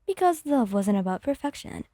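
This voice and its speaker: A woman's voice: a little high, big pitch swings, normal speed, warm tone, dry recording. A woman narrating scripted fan fiction in an ASMR storytelling style, close to the microphone.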